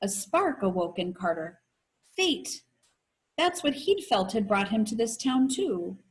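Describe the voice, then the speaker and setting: A woman reading a children's novel aloud like a teacher, in an expressive, animated storytelling manner. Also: fairly high pitch, a little slow. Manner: animated storytelling with voices for characters.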